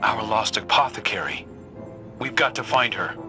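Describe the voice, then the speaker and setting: A man's voice: fairly low pitch, animated, normal speed, lightly acted. A man playing a level-headed, determined operative in a sci-fi video game, speaking in a plain, businesslike way. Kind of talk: dramatic video game dialogue. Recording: music behind the voice. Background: background music.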